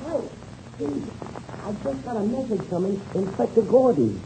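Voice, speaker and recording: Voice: medium pitch, very animated, moderate pace, mellow, low-quality recording. An actor playing a folksy, hesitant, plain-spoken American mechanic with an American accent in a 1930s radio serial. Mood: flustered. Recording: faintly hissy old radio recording.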